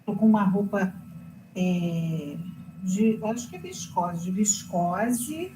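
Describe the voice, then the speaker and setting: A Brazilian woman who is a teacher, chatting casually and slowly, with many little filler tags. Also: medium pitch, lively intonation. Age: older. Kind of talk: casual live chat. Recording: echoey room with clear background noise.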